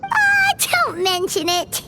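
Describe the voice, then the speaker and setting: A woman voicing a tiny, excitable, childlike fairy companion in a video game, squeaky and exclamatory, with loud game effects behind her. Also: slow, heavy background noise, full character voice. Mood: bashful, pleased.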